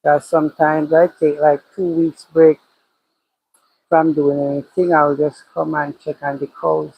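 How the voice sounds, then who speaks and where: A man's voice: on the high side, flat delivery, measured pace, vocal fry, slightly rough, no echo, narrow, tinny audio. A man with a Jamaican accent, a cattle farmer livestreaming from his pasture, thinking aloud and repeating his phrases as he talks. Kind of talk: casual livestream chat, reading comments. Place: outdoors by a cow pasture.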